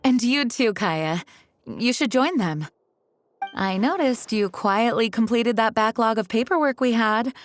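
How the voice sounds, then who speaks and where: A woman's voice: fairly high pitch, animated, measured pace, faint music behind. An actress voicing a courteous, composed female knight leader in a video game. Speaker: acting grandmaster.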